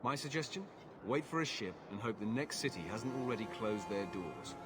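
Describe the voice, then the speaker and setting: Low, weary, cynical guard played by an actor. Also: male voice, animated, normal speed, music in the background, lightly acted. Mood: resigned, advising. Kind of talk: video game cutscene acting.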